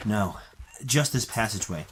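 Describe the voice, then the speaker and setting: An actor playing an anxious, protective hero in an old-time radio thriller, earnest and hesitant. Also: male voice, fairly low pitch, lively intonation, moderate pace, noisy background, natural voice. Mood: wary. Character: anxious, protective young male hero trapped with his sweetheart. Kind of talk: 1930s-style radio thriller acting.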